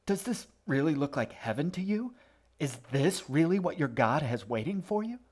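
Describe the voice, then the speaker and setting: An earnest boy, played by a male narrator, speaks up urgently and protectively. The voice is lifted in pitch and pleads with conviction, as in an audiobook. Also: very animated, a little slow, darkish tone. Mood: urgent pleading. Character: earnest, protective boy, brother of a young girl. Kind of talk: audiobook character acting.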